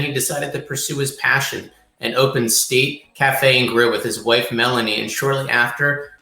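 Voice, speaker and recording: A man's voice: medium pitch, bright, forceful tone. An American man co-hosting a podcast, introducing and questioning a guest. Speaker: podcast co-host. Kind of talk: reading a guest introduction, interviewing. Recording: roomy, echoing sound.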